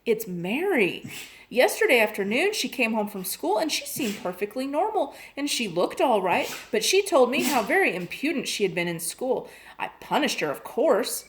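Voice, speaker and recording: A fretting storybook mother, anxious and indignant, her voice rising high as she pours out her worries, played by a woman reading aloud. The pitch swings widely from phrase to phrase. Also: animated, moderate pace, natural voice. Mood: worried. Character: upset, fretting mother, middle-aged woman.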